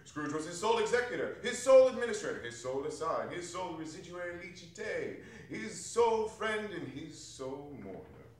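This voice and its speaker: Animated male storyteller, far off and echoey. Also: on the high side, brisk, few pauses. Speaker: stage reader in a theatre company. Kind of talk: theatrical dramatic reading of a classic story. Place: arts center performance space, distant camera. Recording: very echoey hall, distant microphone.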